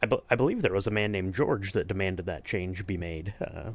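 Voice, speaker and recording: A man co-hosts a podcast in an informal conversational style. His pitch is a little low. He talks haltingly, doubling back on words and phrases as he builds each thought. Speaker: podcast co-host. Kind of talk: casual chat.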